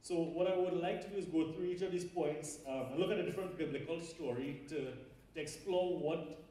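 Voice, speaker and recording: A man's voice: a little high, fairly even intonation, fairly quick, dark tone. A man from Trinidad with a Trinidadian accent, giving a conversational sermon with fillers and asides to a large audience. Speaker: preacher. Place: large lecture hall with a big audience. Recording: very echoey hall, voice heard from far off.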